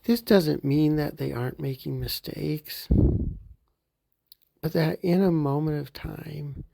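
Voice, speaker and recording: Calm male meditation guide, husky and mellow, gentle with soft whispered stretches. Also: fairly high pitch, slow pace. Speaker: therapy group leader.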